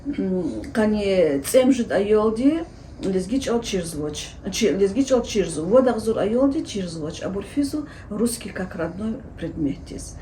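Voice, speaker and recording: A woman teacher answering interview questions at length, explaining steadily with many pauses and filler sounds. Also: medium pitch, mellow, a little hiss or hum. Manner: explanatory, hesitant with frequent fillers. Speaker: Lezgian language teacher and researcher.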